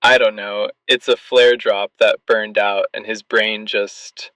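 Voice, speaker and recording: Slow-talking, amiable skeptic caller. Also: male voice, on the low side, little pitch movement, pushed and bright, no room sound, poor audio. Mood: amused, skeptical. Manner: easygoing, chuckling, dismissive. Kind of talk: radio drama phone-in.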